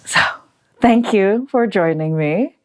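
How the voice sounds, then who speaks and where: A woman's voice: mid-range voice, expressive, slow, dark tone. A woman hosting a podcast interview, asking questions and giving frequent encouraging backchannels. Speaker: podcast host.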